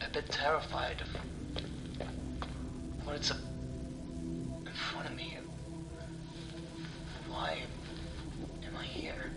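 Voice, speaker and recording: A man narrating a first-person horror story dramatically, with halting delivery, pauses and breathy asides. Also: fairly high pitch. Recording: echoey sound with music underneath. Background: music.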